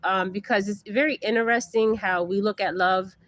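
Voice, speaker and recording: A woman hosting a live stream, talking in a relaxed, halting way with many fillers. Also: mid-range voice, no echo. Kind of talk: casual live stream chat.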